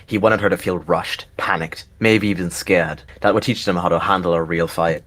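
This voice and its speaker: A man narrates a scripted animal fantasy tale. His voice is low, and he reads in prose storytelling style. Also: steady tone, normal speed, a bit bright. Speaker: narrator and roleplayer.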